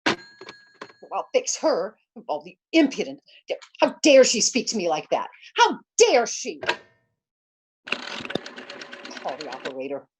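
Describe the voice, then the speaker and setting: A woman's voice: medium pitch, sing-song, takes it slowly, pauses often, noisy background. A woman playing a nervous, demanding bedridden lady in an old-time radio suspense play, stammering, fretful and indignant.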